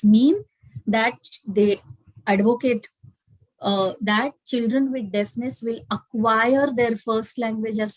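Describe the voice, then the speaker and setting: A woman's voice: on the high side, no room sound, low-quality recording. A woman with an Indian English accent giving a webinar lecture and answering questions, explaining steadily with frequent fillers. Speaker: guest speaker. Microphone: video call.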